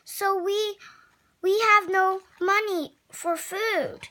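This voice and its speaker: A reader voicing a hungry, tearful mother from a picture book, pleading and halting. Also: very high voice, steady tone, bright, forceful tone, voice pushed up, exaggerated voice. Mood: sad.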